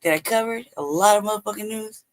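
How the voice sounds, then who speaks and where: A man's voice: high-pitched, quick pace. A man hosting a live stream, chatting loosely about the clips he is playing and often dropping to a near whisper. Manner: loose and rambling, drops to a near whisper at times. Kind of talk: casual commentary reacting to videos.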